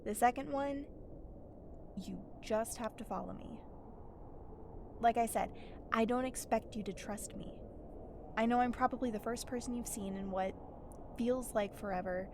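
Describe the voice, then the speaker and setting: A woman's voice: a little high, normal speed, long pauses, close to an everyday voice. A woman playing a sarcastic, impatient wasteland survivor in an audio roleplay, talking straight to the listener in a wry, exasperated, brusque manner. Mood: firm, blunt. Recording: steady ambient noise behind the voice. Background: howling wind sound effects.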